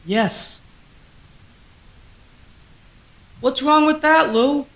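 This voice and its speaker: A woman's voice: high voice, slow pace. A young woman in a student radio play, playing the matter-of-fact straight man of a comedy double act with unbudging answers. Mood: calmly insistent. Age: young adult. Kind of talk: comic double-act stage patter.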